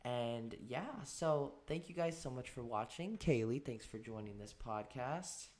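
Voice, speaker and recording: A man hosting a casual podcast chat, excitable and full of fillers. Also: medium pitch, measured pace. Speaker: podcast host.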